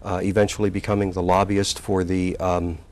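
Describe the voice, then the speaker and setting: Older man, sixties, deep voice; unhurried interview guest who hesitates and restarts often. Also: little pitch movement, a little mellow. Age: 60s. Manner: halting, with many fillers and restarts. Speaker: community college president, guest. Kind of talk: relaxed interview recollection.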